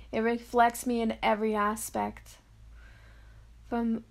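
A woman leading a guided meditation of affirmations, speaking softly and dreamily in drawn-out phrases with long pauses. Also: medium pitch.

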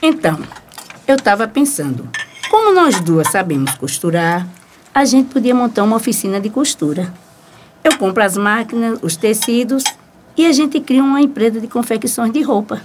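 A woman's voice: a little high, lively intonation, moderate pace, noisy background, natural voice. A woman playing a confident, persuasive seamstress with a Brazilian accent in a radio soap opera.

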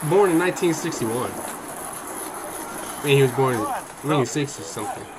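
A man's voice: mid-range voice, expressive, moderate pace, heavy background noise. A young man reacting to a video from his bedroom, joking and slangy, speaking into a headset mic. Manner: joking, slangy, talks to viewers as "bro". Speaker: reaction streamer. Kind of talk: casual reaction commentary. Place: bedroom streaming setup.

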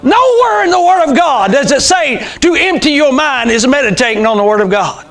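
A man in his sixties preaches in a strikingly high voice. His delivery is fervent and informal, like an unscripted church sermon. He repeats key phrases for emphasis and puts questions to his congregation. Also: lively intonation, normal speed, fairly bright tone. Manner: emphatic, repetitive, calls out amens and rhetorical questions. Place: small church sanctuary, indoors. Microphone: clip-on lapel mic.

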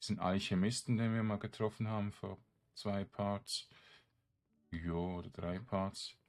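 A man giving casual, halting game commentary, rambling as he thinks aloud. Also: lowish voice, little pitch movement, creaky, a little mellow. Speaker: let's player. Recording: game music behind the voice. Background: video game music.

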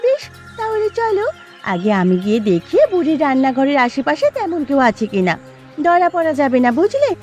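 A woman's voice: high voice, huge pitch swings, normal speed, darkish tone, lo-fi sound, faint music behind. A woman voicing a sly, greedy village neighbour wife in a Bengali cartoon. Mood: scheming, eager.

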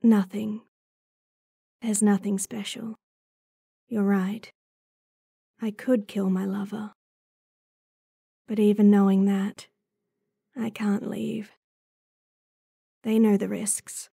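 Woman acting a husky, stubborn young werewolf. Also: medium pitch, little pitch movement, slow pace, pauses often, dark tone, dry recording, clean studio sound, full character voice. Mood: earnest, resolute. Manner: earnest, defiant, restrained. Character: idealistic, stubborn young female werewolf in love. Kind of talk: audio roleplay drama acting.

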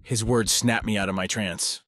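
A man playing a cynical, anxious high school boy, narrating a visual novel in a deadpan, grumbling way. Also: normal speed, dry recording, clean studio sound. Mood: anxious, sardonic. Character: cynical, anxious, foul-mouthed male high school student. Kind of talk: first-person visual novel narration and dialogue.

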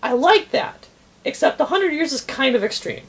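A woman's voice: high voice, expressive, moderate pace. A woman chatting casually in a blunt, opinionated way, swearing freely. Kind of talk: casual chat.

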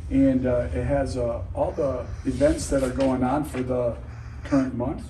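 A man's voice: mid-range voice, flat delivery, a little slow, dark tone. A middle-aged man delivering scripted church announcements to camera. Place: large indoor church foyer and halls. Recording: roomy, distant, noisy hall sound.

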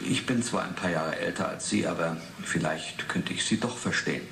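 An actor playing a persistent official interrogator with a pointed, probing manner in a 1980s German radio drama. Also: male voice, low-pitched, normal speed, a bit bright. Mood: needling. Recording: echoey room with clear background noise.

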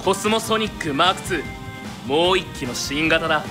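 A man's voice: fairly high pitch, expressive, moderate pace, natural voice. A man playing a cool-headed male squad commander. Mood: composed. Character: calm, commanding male squad leader, adult. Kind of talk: voice drama acting. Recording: loud music underneath.

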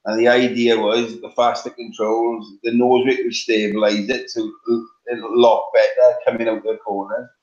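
A man's voice: lowish voice, little pitch movement, normal speed, hardly any pauses, darkish tone, faint music behind. A middle-aged man with a Welsh accent, chatting on a video call from his living room, with many ums and restarts. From Wales. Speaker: guest, competition flyer. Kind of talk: casual video call chat. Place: home living room, on a couch. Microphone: webcam on a video call. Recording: roomy, dull lo-fi webcam sound.

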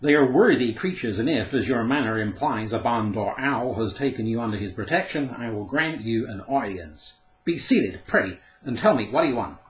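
A man reading aloud, voicing a haughty female great horned owl with a shrill, harsh voice. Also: lively intonation, normal speed, roomy sound, close to an everyday voice, low-quality recording. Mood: lofty.

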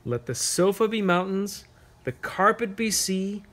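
A man reading a picture book aloud to children, pausing to ask them gentle, encouraging questions. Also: high voice, animated. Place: carpeted room at home, sitting on floor.

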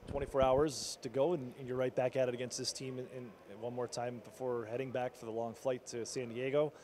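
A man's voice: mid-range voice, flat delivery, brisk, a little mellow, a little hiss or hum. A man working as a team reporter, asking long, rambling postgame questions full of restarts.

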